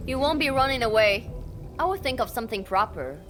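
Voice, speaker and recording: A woman's voice: a little high, expressive, brisk, close to an everyday voice. A woman voicing a curt young woman officer in a game, dry, teasing and no-nonsense. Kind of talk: anime game voice acting. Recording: game music behind.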